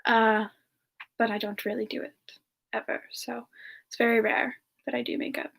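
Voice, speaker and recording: A woman voicing a gentle frost siren in a semi-ASMR character Q&A, speaking softly and haltingly, with whispery dips and playful candor. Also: on the high side, flat delivery, gravelly. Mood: shy, candid. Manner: soft, hesitant, halting delivery with whispery dips. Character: gentle female frost-covered siren, modest and playfully candid.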